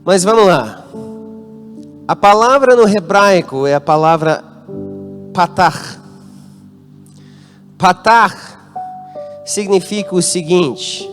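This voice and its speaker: A man from the United States, a native English speaker, preaching a youth-conference sermon with an American accent and drawing the congregation into call-and-response. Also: sits high. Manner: interacts with the congregation, asks them to call out answers. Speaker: guest pastor. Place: church hall.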